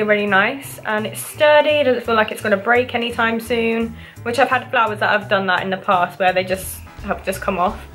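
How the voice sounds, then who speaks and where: A woman's voice: on the high side, fairly bright tone. Gushing young woman vlogger. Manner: gushing, enthusiastic, repeats "really, really". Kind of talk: casual unboxing chat.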